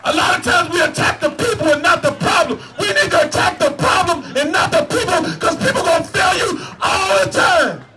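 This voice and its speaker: A man running for office, giving a fiery shouted protest speech at a rally with a repetitive, preacher-like cadence. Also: very high voice, expressive, moderate pace, few pauses, faint music behind, some chatter behind. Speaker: political candidate at a rally.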